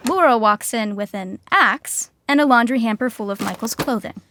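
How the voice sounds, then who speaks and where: A woman's voice: fairly high pitch, moderate pace, background noise. A woman reading scripted comedic narration for a sitcom. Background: music.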